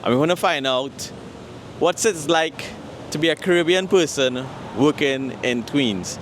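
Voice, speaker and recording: A man's voice: fairly high pitch, measured pace, slight background noise. A man with a Trinidadian accent hosting a street interview show, upbeat as he introduces guests and asks questions. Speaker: host. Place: city street, then inside a barbershop.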